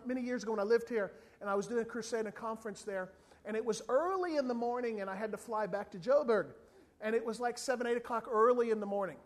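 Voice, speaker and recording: A man preaching a sermon as an evangelist, telling a funny story and briefly acting out the people in it. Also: sits high. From not from South Africa. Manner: animated storytelling, acts out other people's voices.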